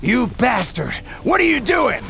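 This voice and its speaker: A brutal hired killer from a video game, taunting and aggressive. The voice is raised high and creaky, swinging wildly over music. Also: male voice, wildly swooping pitch, a little slow, heavily acted. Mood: furious. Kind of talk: video game cutscene acting.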